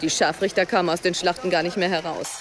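A woman's voice: fairly low pitch, flat delivery, fast, close to an everyday voice. An actress playing a worldly, self-assured and provocative woman in a German radio drama. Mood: lecturing. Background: music behind the voice.

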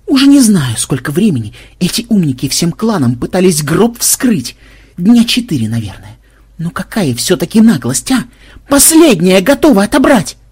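A narrator voicing an irritable male vampire warlock who is exasperated, sarcastic and loudly indignant in an audiobook. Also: medium pitch, big pitch swings, normal speed, hardly any pauses, breathy edge. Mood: outraged.

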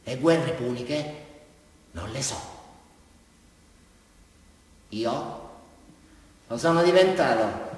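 A man's voice: mid-range voice, expressive, very slow, pauses often. An actor playing an unhinged, boastful southern Italian prisoner confessing to a policeman in a rambling theatrical monologue. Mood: rambling, confiding. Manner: rambling, volatile, repeats himself. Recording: echoey hall, distant mic, faint noise.

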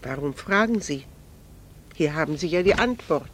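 An actress in a German radio drama playing a proud, candid, melancholy married woman, speaking in a measured, formal and earnest way. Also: sits low, animated, slight background noise. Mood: candid, wistful.

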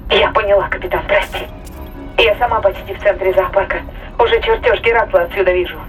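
An actress playing an excitable, anxious female spaceship crew member, heard through a radio in a science fiction audio drama. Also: medium pitch, a little fast. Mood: apologetic. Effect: radio transmission filter. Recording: distant radio-link voice over music.

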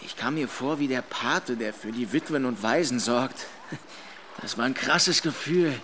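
A man's voice: medium pitch, measured pace, bright, forceful tone, slight background noise. An actor playing a defiant, boastful young man, a restless dropout, talking colloquially and insistently in a radio drama. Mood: cocky, intense. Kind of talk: German radio play acting, monologue and dialogue.

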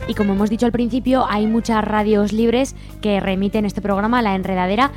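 A woman's voice: medium pitch, steady tone, brisk, music in the background. A woman with an accent from Spain co-hosting a radio programme, presenting from a script. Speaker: radio co-host.

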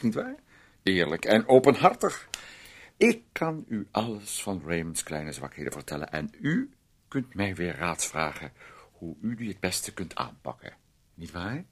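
A man's voice: on the low side, slightly rough, heavily acted. An actor playing a fussy, manipulative man who poses as a caring guardian in a radio play, talking in a wordy, insinuating, condescending way with tag questions. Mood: smooth, confiding.

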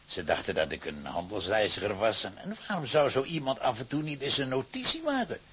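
A man's voice: mid-range voice, expressive, brisk, fairly bright tone, husky. A man playing a self-satisfied, sneaky company informer in a radio drama, smug and matter-of-fact. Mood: sly satisfaction. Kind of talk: Dutch radio drama acting.

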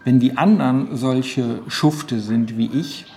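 A man explaining an idea off the cuff, halting and searching for his words. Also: mid-range voice, creaky, warm tone. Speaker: co-creator explaining the project. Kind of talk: spontaneous explanation. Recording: faint ambient noise.

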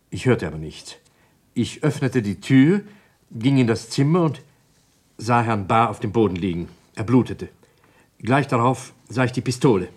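A man playing a troubled doctor and evasive suspect in a German crime radio drama, speaking in a hesitant, guarded way with a husky voice. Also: mid-range voice, darkish tone.

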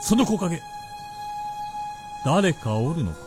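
An imperious high-pitched feudal warlord. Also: male voice, big pitch swings, takes it slowly, music in the background. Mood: stern, menacing. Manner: archaic lordly speech, abrupt orders. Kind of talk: Japanese radio drama acting.

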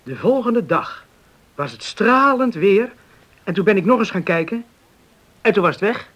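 A friendly island beachcomber in a radio drama. His voice sits high for a man, and he talks earnestly, now and then hesitating like a shy suitor. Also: expressive, moderate pace, bright, forceful tone, heavily acted. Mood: earnest, intrigued. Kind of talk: radio play acting.

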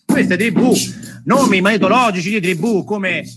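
High, bright-voiced male storyteller. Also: animated, a little fast. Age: 40s. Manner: conversational, playful, mimics sounds with the mouth. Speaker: storyteller, theatre actor. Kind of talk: theatrical monologue storytelling.

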